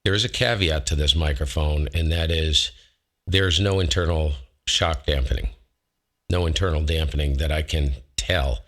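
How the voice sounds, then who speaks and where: A man with a low voice hosting a ham radio show, talking casually through a microphone demo from his home shack on a close dynamic mic, rambling and correcting himself as he goes. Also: normal speed, a bit bright. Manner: rambling, self-correcting, folksy. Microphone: close dynamic studio mic.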